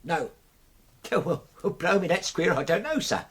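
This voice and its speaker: An actor playing a humble, deferential British manservant in a radio drama, hesitant, apologetic and colloquial. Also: male voice, fairly high pitch, big pitch swings, unhurried, a bit bright, breathy edge, exaggerated voice.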